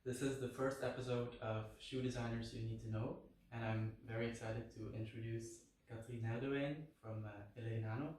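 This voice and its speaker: A man's voice: medium pitch, steady tone, brisk, few pauses, darkish tone, recorded from a distance. A man hosting his own channel, introducing a guest and asking questions in an upbeat, slightly hesitant way. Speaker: channel host. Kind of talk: casual interview hosting. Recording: echoey room, voice a bit off-mic.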